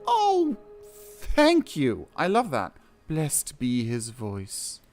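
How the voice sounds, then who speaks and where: A man streaming a game, reading quest text aloud and reacting casually, with excitable exclamations and hesitant, self-correcting commentary. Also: on the high side, very slow. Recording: music behind the voice. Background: game music.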